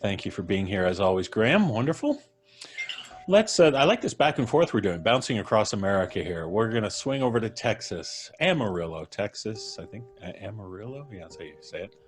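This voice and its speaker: A man's voice: on the low side, big pitch swings, brisk, faint music behind. A man hosting a live stream with casual banter and jokey, playfully formal introductions. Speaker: host of a podcast live stream.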